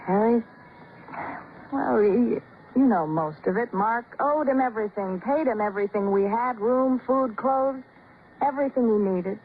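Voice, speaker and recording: A woman's voice: fairly high pitch, a little slow, lightly acted. Woman acting a brittle American wife, scratchy old radio. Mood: insistent, confessing. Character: distraught, controlling wife confessing to a killing. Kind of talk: 1950s radio drama acting. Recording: scratchy old radio broadcast, narrow band, hiss.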